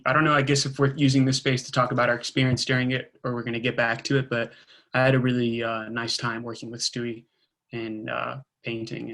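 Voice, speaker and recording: A man born in Israel and raised in Georgia, an artist introducing himself on a panel call in a relaxed, rambling way. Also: medium pitch, gravelly.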